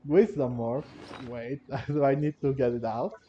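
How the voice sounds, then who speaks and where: A man's voice: medium pitch, fairly even intonation, a little slow, dark tone, a little hiss or hum. A man giving casual playthrough commentary on a game, with hesitant, repeating phrasing. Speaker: gaming video host. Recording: dull lo-fi microphone sound. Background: faint game music.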